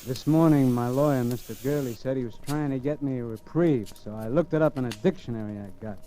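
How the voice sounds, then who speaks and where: An actor playing a weary working-class condemned prisoner in a 1940s radio drama, talking plainly and haltingly as he confesses. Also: medium pitch, natural voice. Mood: matter-of-fact. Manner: brooding, halting, plain-spoken. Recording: muffled old radio recording with music behind.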